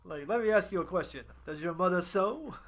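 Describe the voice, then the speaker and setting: A man's voice: high voice, lively intonation, a little fast, a bit bright. A man co-hosting in casual chat, throwing in sarcastic wisecracks. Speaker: co-host, heckler.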